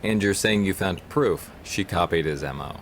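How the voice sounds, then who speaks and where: Man acting as shrewd police investigator, deep voice, determined, audio drama, noisy ambient background. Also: moderate pace, lightly acted. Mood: focused.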